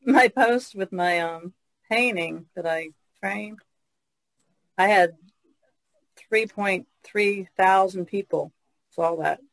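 A woman's voice: medium pitch, slow, vocal fry, pauses often, dry recording, poor audio. A woman chatting casually as a panelist on a podcast. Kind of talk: casual chat.

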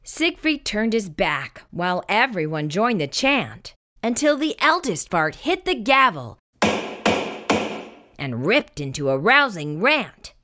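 A woman reading a children's picture book aloud in expressive, scripted narration. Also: mid-range voice, slow.

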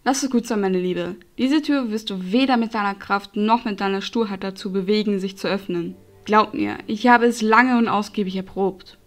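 A woman playing a gentle, resigned captive noblewoman in a fantasy audio drama, courteous and soft-spoken. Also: mid-range voice, normal speed, hardly any pauses, faint music behind, close to an everyday voice. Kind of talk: fan-made fantasy audio drama acting.

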